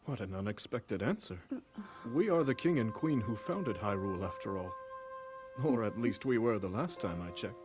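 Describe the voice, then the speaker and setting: A man playing a calm, courteous, stately king in a video game, speaking in a formal, thoughtful way. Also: lowish voice, moderate pace, faint music behind, lightly acted. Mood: courteous, amused. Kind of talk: video game cutscene acting.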